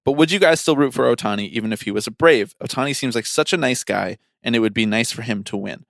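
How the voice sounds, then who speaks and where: A man's voice: mid-range voice, fast, gravelly, fairly bright tone, no room sound, clean studio sound. A man co-hosting a podcast in casual sports chat, blunt and opinionated, prone to swearing. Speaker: podcast co-host.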